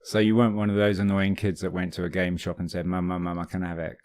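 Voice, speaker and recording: A man's voice: low voice, little pitch movement, fast talker, a little mellow, no room sound. A British man in his late forties, a podcast co-host joking and teasing in casual chat. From Britain. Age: 40s.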